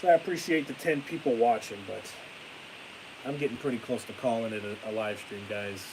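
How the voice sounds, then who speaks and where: A young man chatting on a live stream with a stuffy nose and a fading voice, ranting excitably and then trailing off into tired mumbles. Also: medium pitch, a little fast. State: stuffy-nosed, losing his voice. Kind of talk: casual live stream chat. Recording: hissy home stream mic.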